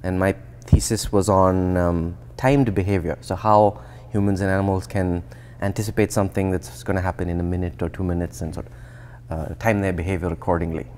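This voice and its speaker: A man in his thirties from India, a researcher explaining his path in a relaxed, thoughtful interview, often restarting sentences. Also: deep voice, brisk, few pauses. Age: 30s. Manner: thoughtful, with frequent restarts and fillers. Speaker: guest researcher. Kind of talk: conversational interview. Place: indoor atrium with plants and glass. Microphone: lapel.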